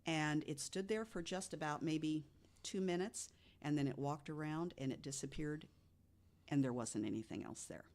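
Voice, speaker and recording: Low-voiced woman telling a ghost story. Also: moderate pace, darkish tone. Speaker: podcast guest co-host.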